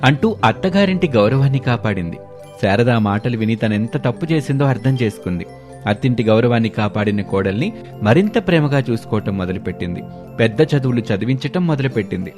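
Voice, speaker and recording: A man's voice: mid-range voice, expressive, a little fast, darkish tone, soft background music. A man narrating a scripted moral family story as a storyteller.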